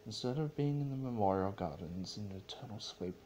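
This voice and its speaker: A man narrating a story as a dramatic reading, in a solemn and somewhat halting way. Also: on the low side, animated, darkish tone, a little hiss or hum.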